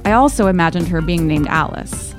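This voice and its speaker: A woman's voice: on the low side, expressive, brisk, music in the background. A woman co-hosting a podcast in a casual, chatty book club style, talking and reading aloud from listener letters. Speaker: podcast co-host.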